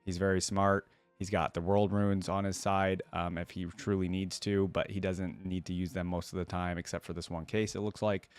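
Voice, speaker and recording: A man's voice: deep voice, flat delivery, moderate pace, few pauses, clear, resonant tone, soft background music. A man giving casual, chatty, slangy reaction commentary as a video host.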